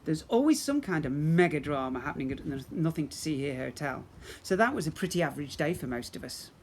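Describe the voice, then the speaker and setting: A man's voice: on the high side, lively intonation, fairly quick. A man narrating a children's story expressively, as a teacher reading to young pupils. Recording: faint background noise.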